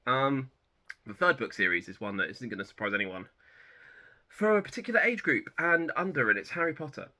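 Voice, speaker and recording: A man in his thirties hosting a book channel, chatting casually about personal favourites in a relaxed, rambling way that often corrects itself. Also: mid-range voice, fairly quick. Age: 30s. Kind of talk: casual personal chat to camera.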